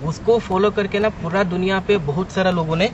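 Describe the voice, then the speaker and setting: A middle-aged Indian-accented man with a slightly high voice explains things informally as a radio trainer. He leans on everyday examples and English terms. The recording is muffled, with clear outdoor traffic noise behind him. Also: fairly even intonation, brisk. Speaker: ham radio volunteer and trainer. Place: outdoors at an urban portable radio station.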